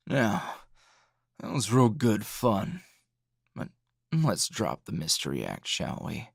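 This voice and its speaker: A man playing a tired, gruff, dryly teasing hero schoolteacher in an ASMR roleplay, speaking low and gravelly in a halting, understated way. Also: wildly swooping pitch, no room sound, clean studio sound, full character voice. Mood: teasing, smug. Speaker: voice actor.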